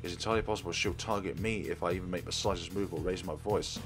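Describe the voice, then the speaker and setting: A man's voice: low-pitched, fairly even intonation, fast, music in the background. A man narrating a visual novel aloud as a let's play host. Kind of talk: reading visual novel aloud, narrating and doing character voices.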